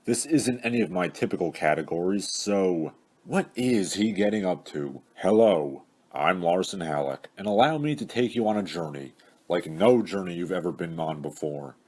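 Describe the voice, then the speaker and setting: A man's voice: low-pitched, sing-song, moderate pace, a little mellow, breathy edge, no room sound. A man narrating an audiobook in a pompous, theatrical manner with grandiose diction. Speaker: audiobook narrator, video host. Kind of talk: scripted audiobook reading with introduction. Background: classical orchestral music.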